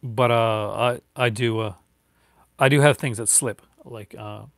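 A man's voice: medium pitch, steady tone, measured pace, gravelly, no echo, studio quality. A man in his forties with a light Newfoundland accent, chatting casually and rambling with many fillers and restarts on a live stream from home. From Newfoundland, Canada. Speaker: YouTuber answering viewer chat. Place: home room with bookshelves, indoors.

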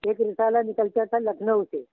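Older guy on a phone line, patient, spells things out slowly, dry sound. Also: high-pitched, steady tone, no room sound. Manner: slow, patient, dictating references. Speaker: Urdu literature teacher. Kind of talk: guiding a student over the phone.

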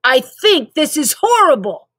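A woman's voice: high-pitched, very animated, a little slow, fairly bright tone, no room sound, studio quality, voice pushed up, full character voice. A woman voicing a panicky, exasperated young kid in a storybook, indignant and complaining. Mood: panicked, indignant.

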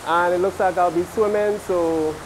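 A man with a high, light voice talks through a nature hike in an easygoing, wonder-struck way. He records himself outdoors by a river on a handheld camera, with faint noise behind him. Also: moderate pace. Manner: relaxed, marveling commentary. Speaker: vlogger. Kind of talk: hiking vlog narration.

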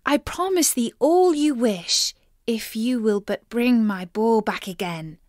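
A woman's voice: fairly high pitch, slow pace, darkish tone, no room sound, clean studio sound. A woman voicing a spoilt young fairy tale princess who speaks in exclaiming, old-fashioned phrases. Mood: eager promising.

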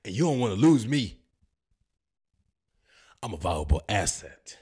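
A man's voice: on the low side, big pitch swings, a little slow, darkish tone, husky. A man in his thirties with an American accent, joking and boasting as he reacts to a language-learning app, speaking into a handheld mic in a home studio. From United States. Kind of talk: playful reaction commentary. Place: home studio with purple lighting. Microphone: handheld microphone.

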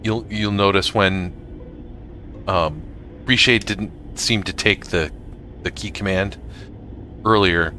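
A man narrating a casual software tutorial for gamers in a halting way, often pausing mid-sentence. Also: sits low, slight background noise. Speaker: gamer making a tutorial video.

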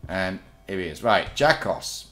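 A man hosting a game playthrough, a bit croaky from illness, rambling and chatty as he thinks aloud. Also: deep voice, expressive, a little slow, a bit bright. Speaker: let's play host. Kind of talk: casual gameplay commentary.

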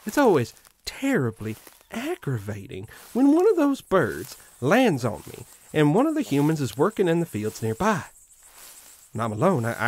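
A man's voice: medium pitch, slightly rough. A man playing a proud, mischievous living scarecrow who guards a farm, musing in a theatrical, pompous way in an audio drama monologue. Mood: haughty, aggravated. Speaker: voice actor.

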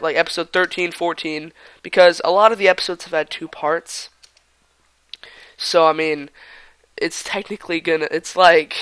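A young man with a voice gone raspy from a cold, rambling casually while commenting on his own gameplay, sometimes muttering or whispering asides. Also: high voice, fairly bright tone, dry recording. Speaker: gaming channel host.

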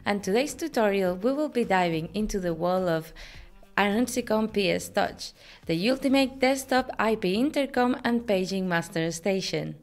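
A woman in her thirties speaks as a company presenter. Her voice sits at an average pitch for a woman. She delivers scripted product narration in an upbeat, promotional manner. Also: animated, normal speed, soft background music. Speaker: company presenter, digital marketing manager.

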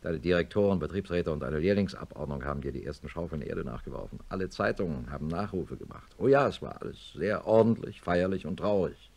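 A deep-voiced, sober middle-aged surgeon. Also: male voice, expressive, gravelly, a little mellow, heavily acted. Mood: grave, insistent. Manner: measured, matter-of-fact, insistent. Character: sober male neurosurgeon, blunt old friend, narrating his story. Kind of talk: German radio play acting and narration.

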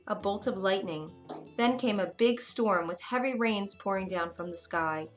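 A woman's voice: mid-range voice, normal speed. Woman storyteller over music. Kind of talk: scripted narration of a children's fairy tale. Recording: music behind the narration.

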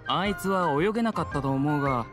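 A man voices a young game agent with a doubtful, grumbling temper. His voice is somewhat high for a man, over clearly audible music. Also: animated, fairly quick, natural voice. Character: skeptical young male agent, grumbling about a colleague. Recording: background music under the voice.

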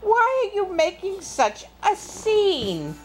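A woman's voice: very high-pitched, wildly swooping pitch, takes it slowly, faint music behind, voice pushed up, full character voice. A woman voicing a sweet, polite and plucky little storybook girl in a sing-song, wide-eyed way. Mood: kindly scolding.

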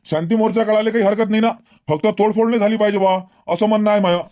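A man's voice: high voice, steady tone, racing, mellow, lightly acted. A man voicing a talkative, level-headed villager in a comedy cartoon, earnest and wordy, with a Varhadi Marathi accent. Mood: calming, reasoning. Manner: earnest, wordy, repeats himself.

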